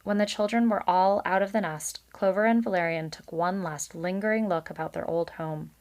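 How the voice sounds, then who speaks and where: Female read-aloud storyteller, lower register, narrating children's fiction with casual asides. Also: steady tone, moderate pace. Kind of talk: expressive children's book narration with casual commentary.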